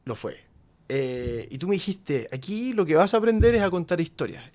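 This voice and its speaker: A man in his thirties with a Chilean accent, hosting a casual interview, animated and slangy, often laughing. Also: high-pitched, normal speed. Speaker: podcast host.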